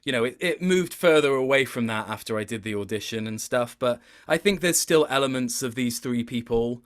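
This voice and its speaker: A man giving a casual interview as a voice actor, thoughtful and halting, often interrupting himself. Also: medium pitch, expressive.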